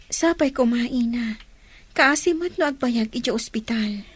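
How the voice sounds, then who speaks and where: A woman's voice: fairly high pitch, moderate pace, breathy edge, no echo. A woman with an Ilocano accent playing a concerned, respectful young daughter in a Filipino radio drama.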